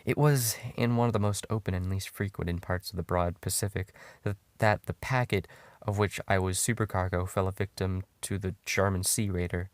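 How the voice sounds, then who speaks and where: A man giving a dramatic horror story narration in a brooding, halting way with pauses. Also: low voice, steady tone, no echo. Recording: music under the voice. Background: music.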